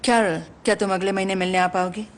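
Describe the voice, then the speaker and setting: A woman's voice: medium pitch, level tone, quick pace, lightly acted. An actress playing a weary, dry, tough female team leader in a dubbed film. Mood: measured.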